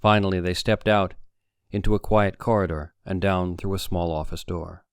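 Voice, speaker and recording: A man's voice: sits low, steady tone, moderate pace, clean tone, no echo, studio quality. A man reading a story aloud as an audiobook narrator, in dramatic scripted narration.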